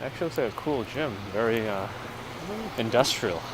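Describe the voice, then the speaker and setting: A New man talks casually into a phone mic on a gimbal as he walks a city street. His voice sits at a middle pitch for a man. Traffic and street noise run clearly behind him. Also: moderate pace. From New York. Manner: relaxed, chats with viewers while walking. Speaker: walking tour live streamer. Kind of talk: casual live-stream narration. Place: outdoors on a city street in winter. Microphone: gimbal-mounted phone mic.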